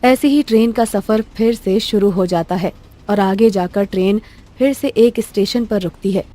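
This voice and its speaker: A woman's voice: medium pitch, moderate pace, mellow. A woman storyteller reading scripted narration for a cartoon tale. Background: faint music.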